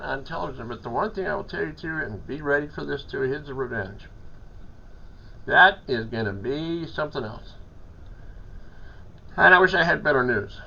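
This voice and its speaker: A man working as a hospital chaplain, hoarse from a cold, talking in a rambling, halting, earnest way with many restarts. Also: on the high side, bright, forceful tone, dull audio, slight background noise. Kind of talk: casual phone-style chat.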